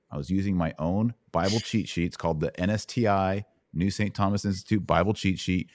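A man's voice: deep voice, darkish tone, dry recording, studio quality. A middle-aged American man hosting and teaching in a casual live Bible Q&A, with a folksy, informal manner.

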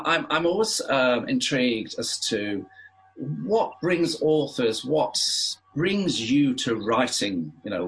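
A man hosting a podcast interview, talking in a rambling, halting way with many fillers. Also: medium pitch, fairly bright tone, rough recording. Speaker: podcast host. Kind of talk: casual interview.

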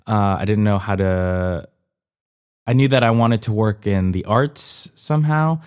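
A young man with a fairly low voice, speaking as a guest in a relaxed interview. He is from Colombia and tells personal stories in an informal, halting way, often restarting and rewording as he goes. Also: clean tone. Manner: informal, hesitant and self-correcting, often seeking agreement with "you know". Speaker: interview guest, comedian and former dancer. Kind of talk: casual conversational interview, personal storytelling.

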